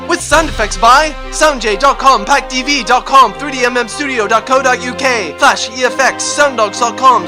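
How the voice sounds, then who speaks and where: A man announcing the close of an audio adventure serial in a scripted, theatrical style. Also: very high voice.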